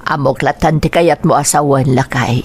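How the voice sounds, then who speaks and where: A woman playing a husky, worried older village mother. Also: very deep voice, fairly even intonation, moderate pace, darkish tone. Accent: Ilocano Filipino. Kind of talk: radio drama acting.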